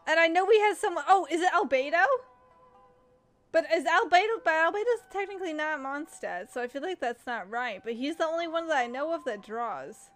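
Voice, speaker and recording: A young woman streaming a game, chatty and excitable, thinking aloud as she plays. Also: sits high, a little fast, soft background music. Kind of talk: casual gameplay commentary.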